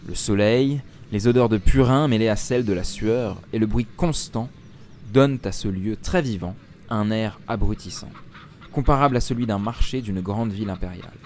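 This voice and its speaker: A man narrating an original fantasy audio story in the second person, steady and descriptive. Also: on the low side, normal speed, slight background noise.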